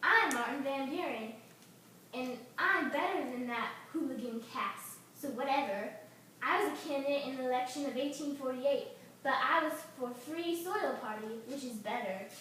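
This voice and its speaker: A woman's voice: sits high, moderate pace, bright, forceful tone. A woman voicing a snide, petty male presidential candidate in a puppet show, in an echoey recording. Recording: echoey room, distant mic, faint noise.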